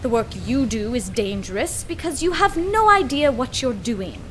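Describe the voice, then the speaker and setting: Woman playing a haughty mad scientist woman, higher-pitched. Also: big pitch swings, moderate pace, a bit bright, a little hiss or hum. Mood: haughty, irritated. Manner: haughty, verbose, theatrical. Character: arrogant woman mad scientist, condescending and grandiose. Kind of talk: comedic audio drama acting.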